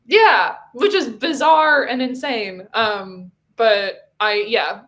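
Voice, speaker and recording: A woman from Hong Kong, a podcast guest, chatting casually with many pauses and restarts. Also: fairly high pitch, fairly bright tone. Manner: hesitant, many fillers and restarts. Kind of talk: casual chat.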